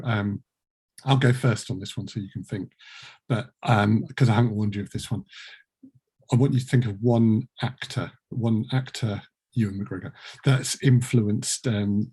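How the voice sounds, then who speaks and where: A man with a low, husky voice hosts a relaxed group chat. He feels his way through questions in a halting, rambling way and slips in dry jokes. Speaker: host. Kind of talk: casual panel interview.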